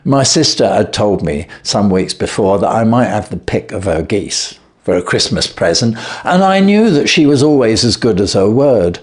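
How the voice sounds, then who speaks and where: A man's voice: normal speed, few pauses. A man reading aloud and voicing a frightened male thief who begs for mercy and then confesses. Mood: confessing. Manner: shrill, begging, then steady confession. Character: desperate male hotel thief, pleading and frightened. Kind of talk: reading a classic story aloud.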